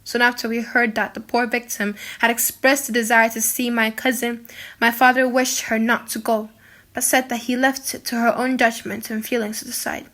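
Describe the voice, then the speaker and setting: Woman reading a classic audiobook, high bright voice, expressive and solemn, dramatic storytelling. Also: normal speed. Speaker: audiobook narrator. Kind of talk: dramatic scripted narration of a classic novel.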